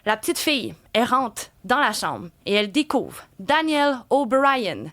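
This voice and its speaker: High-voiced Québécois woman telling true crime. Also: a little slow. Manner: lively, playful storyteller who drops to a hushed tone for grim details. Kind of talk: true crime storytelling on radio. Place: radio studio.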